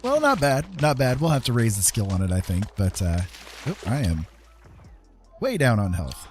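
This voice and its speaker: A man's voice: medium pitch, wildly swooping pitch, normal speed, soft background music. A man doing casual live gameplay commentary, musing and thinking aloud in a rambling, self-directed way. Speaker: game streamer. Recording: clear background game noise. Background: video game sounds.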